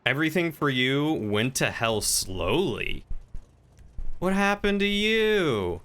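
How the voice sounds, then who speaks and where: A man talking casually on a gaming livestream, chatty and playful, thinking out loud as he goes. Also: on the high side, very animated, slow pace.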